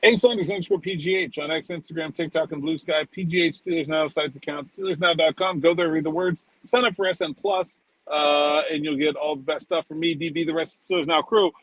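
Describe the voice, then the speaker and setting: A man with a slightly higher-than-average voice talks casually as a sports podcast co-host. The sound is dry, with no room echo. His delivery is conversational and given to rambling asides. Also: fairly even intonation, brisk, few pauses, fairly bright tone.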